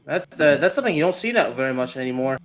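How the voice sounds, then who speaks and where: A man's voice: mid-range voice, lively intonation, quick pace, fairly bright tone, muffled recording. A man co-hosting a sports podcast, giving opinionated, emphatic takes in casual chat and repeating words for stress.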